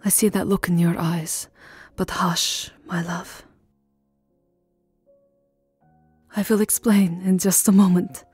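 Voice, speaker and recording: A woman playing a tender, lovesick vampire countess in an intimate ASMR roleplay, speaking softly and often dropping into a whisper, with formal, wistful endearments. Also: fairly low pitch, steady tone, a little slow, husky, no echo. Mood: tender, solemn. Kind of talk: ASMR roleplay, soft intimate romantic acting. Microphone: close microphone, dry. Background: music.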